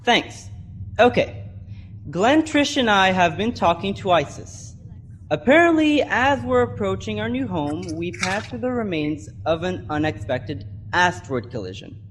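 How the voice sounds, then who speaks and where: A take-charge teenage boy played by a young male actor in a sci-fi radio drama. His delivery is reassuring, with a very lively range of pitch. There is audible noise behind the voice. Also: measured pace. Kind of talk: sci-fi radio play acting.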